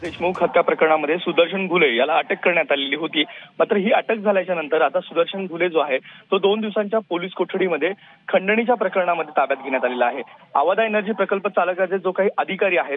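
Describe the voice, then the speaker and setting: A man giving a live, unscripted news report, wordy and padding his sentences. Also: high voice. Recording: tinny phone-like live link.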